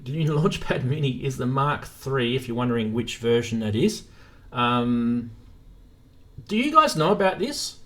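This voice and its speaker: A man's voice: mid-range voice, very animated, unhurried, bright, forceful tone. A man hosting a live stream in a casual, informal chat, thinking aloud as he goes.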